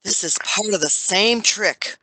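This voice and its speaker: A woman's voice: medium pitch, sharp tone, no room sound, rough recording. A woman playing an imperious, fussy old man, a grandfatherly Doctor, in an audio drama. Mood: testy. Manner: commanding, fussy, deliberate.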